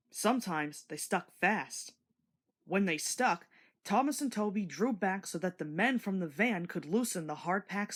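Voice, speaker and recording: A woman narrating a scripted children's story in an expressive storytelling manner. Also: medium pitch.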